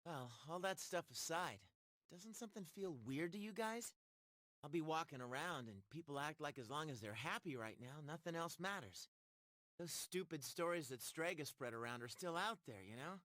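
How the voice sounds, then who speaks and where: Excitable teen dude in a game cutscene, blunt, slangy, voice jumps all over, dry sound. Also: male voice, on the high side, normal speed, dry recording. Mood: disgruntled. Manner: slangy, expressive. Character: brash, casual teenage boy, excitable and blunt. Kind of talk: scripted video game cutscene acting.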